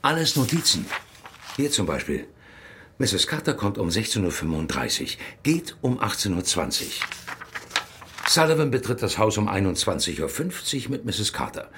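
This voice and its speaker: Sly informer guy in a radio crime play, low voice that swings around a lot, faint noise behind. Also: big pitch swings, moderate pace, fairly bright tone. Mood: sly, businesslike. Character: sly, insinuating male informer peddling surveillance notes. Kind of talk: German crime radio drama acting.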